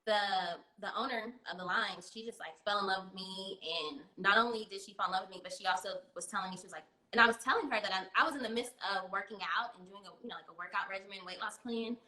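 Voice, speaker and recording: A woman's voice: mid-range voice, fast, hardly any pauses, pushed and bright. Chatty woman on an echoey call. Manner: chatty, laughs often, many fillers. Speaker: guest. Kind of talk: casual video-call interview. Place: home room. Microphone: video call. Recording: echoey room on a video call.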